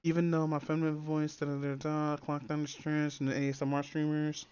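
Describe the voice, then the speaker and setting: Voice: medium pitch, little pitch movement, brisk, a little mellow, clean tone, dull audio. A streamer with a feminine-sounding voice, talking casually over a reaction video in a profane, rambling way full of fillers and restarts.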